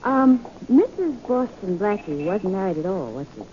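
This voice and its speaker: An actress playing a coy, teasing sweetheart of the detective hero in a 1940s radio drama. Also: female voice, medium pitch, very animated, moderate pace, warm tone, natural voice. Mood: curious. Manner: playful, coy. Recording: muffled, noisy 1940s radio recording.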